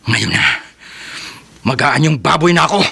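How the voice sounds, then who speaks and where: Shapeshifter villager: Filipino accent, high, tense, gravelly, urgent horror radio acting. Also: male voice, animated, normal speed, pushed and bright, heavily acted. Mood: plotting, uneasy. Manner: urgent, agitated. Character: anxious male villager, secretly a shapeshifting monster.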